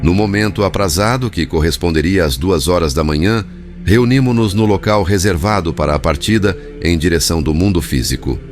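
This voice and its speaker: Brazilian guy reading a spiritual audiobook, deep pitch, music underneath. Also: a little fast. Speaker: audiobook narrator. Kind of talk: scripted narration of a spiritist book. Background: background music.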